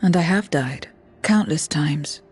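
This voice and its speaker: Deadpan, low-toned otherworldly void woman. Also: takes it slowly, husky, exaggerated voice. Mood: matter-of-fact. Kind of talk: video game cutscene acting. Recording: music behind.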